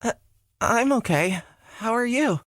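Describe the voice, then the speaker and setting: A woman's voice: on the high side, animated, unhurried, no echo, studio quality. A woman voicing a timid, friendly young male cashier in a visual novel, shy and hesitant. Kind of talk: visual novel character acting.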